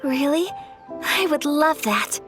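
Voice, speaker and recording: A woman's voice: high-pitched, lively intonation, slow pace, loud music behind the voice. A woman voicing a graceful, polite young noblewoman in a game, speaking formally and courteously. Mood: gentle.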